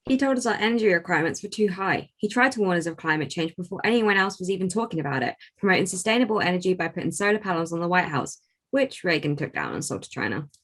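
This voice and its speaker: A woman's voice: on the low side, animated, fast, fairly bright tone. A woman at a script table read playing a well-informed, earnest enthusiast, insistent and explanatory. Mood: earnest lecturing.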